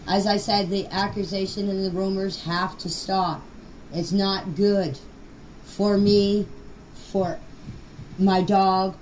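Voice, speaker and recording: A woman's voice: mid-range voice, far from the mic, slight background noise, low-quality recording. An older woman speaking informally in voice messages, plain-spoken, earnest and emphatic. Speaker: grandmother of missing children. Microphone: phone voice message.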